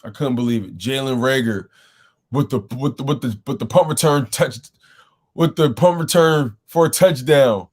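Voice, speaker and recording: A man's voice: medium pitch, moderate pace, a little mellow. A man hosting a casual live sports call-in stream, his voice a little scratchy as he keeps greeting viewers and repeating himself. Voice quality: slightly scratchy voice. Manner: repetitive, exclaiming, greets chat viewers. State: throat a bit rough. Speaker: host of a sports call-in show.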